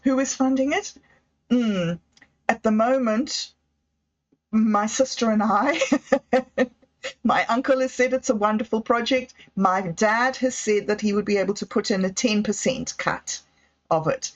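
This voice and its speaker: A woman's voice: fairly high pitch, a little slow, pauses often, lo-fi sound. A woman hosting her own livestream, chatting in a rambling, effusive way and often breaking off mid-sentence. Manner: effusive, rambling, self-interrupting. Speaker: livestream host. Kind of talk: rambling solo livestream chat.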